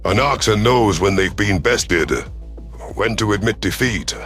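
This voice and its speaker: A man voicing a solemn, remorseful reptilian alien predator with measured, formal speech in an audio sci-fi story. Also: fairly low pitch, a bit bright, soft background music, voice pushed down.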